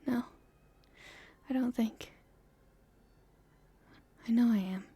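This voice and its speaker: A woman playing a playful young princess in love, speaking softly and breathily in an intimate ASMR romance roleplay, with gentle, halting delivery. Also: a little high, heavily acted. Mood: playful, teasing. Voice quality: breathy, whispery, soft.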